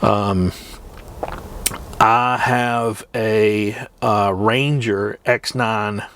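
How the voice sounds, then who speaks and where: Slow-talking middle-aged man, casual and hesitant. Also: lowish voice, steady tone, a bit bright, no room sound. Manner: hesitant, pausing, reminiscing. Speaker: trucker vlogger. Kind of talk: casual rambling chat to camera.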